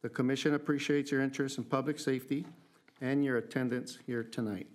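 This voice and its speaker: A man chairing a city commission meeting, reading prepared opening remarks in a measured way. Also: medium pitch, steady tone. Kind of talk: formal meeting procedure.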